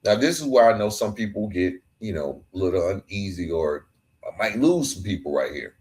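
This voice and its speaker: A man's voice: deep voice, expressive, normal speed, a little mellow. A man hosting a podcast, delivering an earnest reflective monologue that builds through emphatic repeated phrases. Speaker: podcast host.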